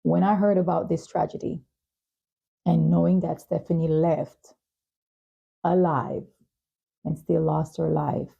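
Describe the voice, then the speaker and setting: A woman hosting a podcast interview, speaking in an earnest, measured, reflective way with frequent pauses. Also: sits low, steady tone, vocal fry, mellow, breathy edge, lo-fi sound. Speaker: talk show host and advocate.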